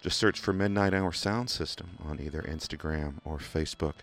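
Very deep-voiced Kentucky radio DJ man. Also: moderate pace. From England. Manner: relaxed, rambling, many "uh" fillers and restarts. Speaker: radio DJ host. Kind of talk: casual radio DJ talk. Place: radio studio. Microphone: studio microphone.

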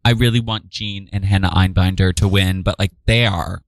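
A man's voice: deep voice, steady tone, normal speed, no room sound. A man co-hosting a pop culture radio show, chatting loosely and informally with casual slang.

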